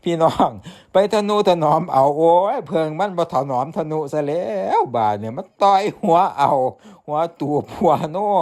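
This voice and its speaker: A man's voice: on the high side, darkish tone. A man with an Isan accent narrating a folktale, folksy and speaking straight to his listeners. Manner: folksy, addressing listeners directly. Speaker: folktale storyteller. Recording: music behind the voice.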